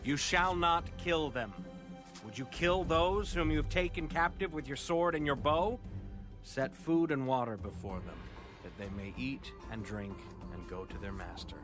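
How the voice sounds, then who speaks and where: An actor playing a solemn, assured male prophet in a dramatized audio Bible, speaking in measured declarations. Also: medium pitch, a bit bright, faint music behind, close to an everyday voice. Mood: firm, commanding.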